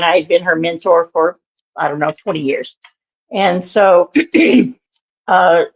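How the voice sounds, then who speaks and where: A woman in her sixties with a naturally raspy voice and an allergy-irritated throat she keeps clearing, telling a story in an interview in a halting, meandering way. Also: on the low side, dull audio. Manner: meandering, halting, with many fillers and restarts. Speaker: guest, minister and author.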